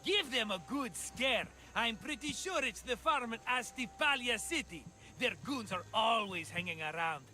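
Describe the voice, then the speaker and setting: A man playing an excitable, scheming merchant in a video game, chatty, dramatic and wheedling. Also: very high-pitched, normal speed, a little hiss or hum, full character voice. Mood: scheming, excited. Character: excitable scheming cheerful male merchant, always broke. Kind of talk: video game cutscene acting.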